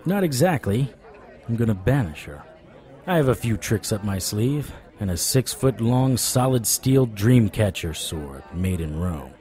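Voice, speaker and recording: An actor playing a stubborn, wisecracking supernatural private investigator in an audio drama, wry, flirtatious and persuasive. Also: male voice, on the low side, big pitch swings, a little slow, dark tone, a faint crowd. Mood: determined. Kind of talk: audio drama acting with noir internal monologue.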